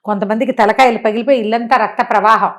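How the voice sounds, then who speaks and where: A woman telling a moral tale in a conversational, animated way, putting questions to the listener and acting out dialogue. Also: a little high, quick pace. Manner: conversational, uses rhetorical questions and acts out dialogue. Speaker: storyteller and motivational speaker.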